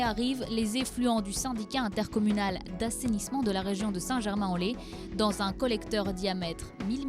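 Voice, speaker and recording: A woman reads a scripted informational narration in a measured, factual way. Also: medium pitch. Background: music.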